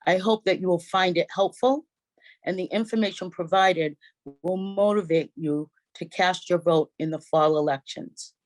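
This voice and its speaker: A woman moderating a public forum, reading prepared opening remarks in a measured way with small slips, over a video call. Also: fairly low pitch, fairly even intonation, low-quality recording. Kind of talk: formal scripted welcome.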